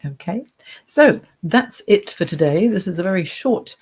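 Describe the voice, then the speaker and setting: Soft low-voiced career coach lady, bit husky, walking you thru her screen on a computer mic. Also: expressive, normal speed. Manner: chatty, reassuring, talks through clicks. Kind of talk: screen-share tutorial.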